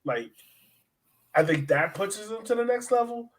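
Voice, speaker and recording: A man's voice: high-pitched, animated, measured pace. A man co-hosting a sports podcast, talking casually about soccer in a slangy, emphatic way and repeating phrases.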